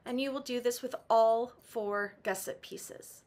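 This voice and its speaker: Raspy-voiced woman teaching sewing. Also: fairly high pitch, steady tone, measured pace, darkish tone. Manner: patient, practical, with some "um" and restarts. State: raspy, recovering from laryngitis. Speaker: sewing tutorial host. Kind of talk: step-by-step sewing instruction. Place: home sewing room.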